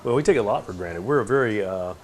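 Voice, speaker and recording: Easygoing Texan man, lowish voice. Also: expressive, fast, a crowd in the background. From Texas. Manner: easygoing, rambling questions with fillers. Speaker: TV station host, interviewer. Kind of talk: casual interview. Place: tv station room.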